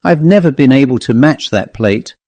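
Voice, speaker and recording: A man's voice: medium pitch, expressive, moderate pace, darkish tone, dry recording, lo-fi sound, lightly acted. A man voicing a keen, genteel older woman antiques dealer in a storybook reading, chatty and politely determined. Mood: musing.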